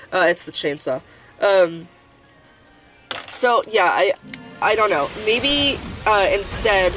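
A young woman hosting a gaming channel, chatting casually while she plays, congested and sniffly, rambling with lots of fillers and restarts. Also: fairly low pitch. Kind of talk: casual let's-play chat. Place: home room, indoors. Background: game music.

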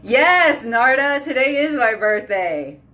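Brief: thirties woman, casual home beauty vlog, chatty and animated, phone mic at arm's length. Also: high voice, takes it slowly. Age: 30s. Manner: chatty, animated, lots of "like" and "y'all". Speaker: beauty vlogger. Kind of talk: casual chat to camera. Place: small bedroom or bathroom at home. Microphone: phone camera at arm's length.

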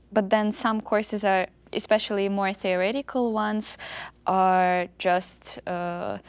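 Slow, hesitant young woman student. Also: mid-range voice, a little mellow, no echo. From Russia. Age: young adult. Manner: hesitant, many fillers. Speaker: student panelist. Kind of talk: casual panel chat. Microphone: lapel mic.

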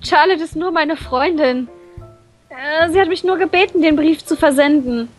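A woman's voice: high-pitched, moderate pace, natural voice. A woman voicing a shy, flustered schoolgirl heroine with a crush in a visual novel, stammering and hesitant. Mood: shy, nervous. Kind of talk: visual novel dub reading with inner monologue. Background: music.

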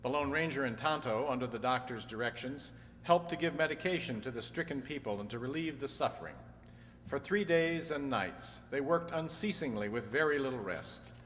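Forceful male radio-drama narrator. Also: mid-range voice, expressive, normal speed. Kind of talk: scripted old-time radio narration. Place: stage in a community hall. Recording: reverberant hall stage.

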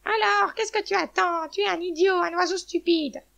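A woman's voice: high voice, lively intonation, normal speed, vocal fry, highs cut off, pitched above the performer's own voice, full character voice. A woman voicing a feisty, proud mother hen in a children's story, blunt and exclamatory. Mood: angry, scolding. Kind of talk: children's storybook character reading.

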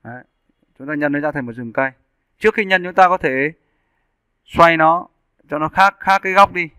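Guy doing a casual software tutorial, bright crisp voice, step by step, dry sound. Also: fairly high pitch, moderate pace, no room sound. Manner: explains step by step, often checking with listeners. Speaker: 3D animation instructor. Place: indoors, screen-recording voice-over.

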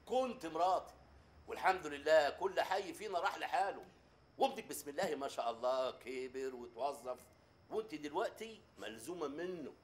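A man's voice: on the high side, lively intonation, natural voice. An actor playing an older merchant who snaps bluntly at his ex-wife, with an Egyptian accent. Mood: irritated. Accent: Egyptian Arabic. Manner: blunt, snapping, rapid. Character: older merchant, gruff and quick-tempered. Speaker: Hajj Salama.

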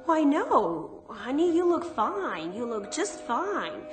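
Kindly woman, cleaning lady, reassuring, lively intonation, radio drama, clear music behind. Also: sits high, takes it slowly, full character voice.

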